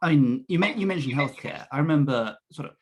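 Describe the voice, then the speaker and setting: A young man from the south of England, co-hosting a political chat in a measured, thoughtful way, often correcting himself mid-sentence. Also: on the high side, lively intonation, slightly rough. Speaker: podcast co-host. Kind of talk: casual political discussion.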